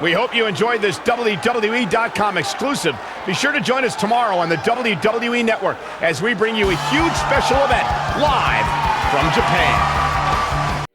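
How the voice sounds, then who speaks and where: A man playing an excited play-by-play wrestling announcer in a video game, emphatic and projected. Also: sits high, normal speed, over a noisy crowd. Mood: upbeat. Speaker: commentator. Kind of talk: sports commentary in a video game. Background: loud music.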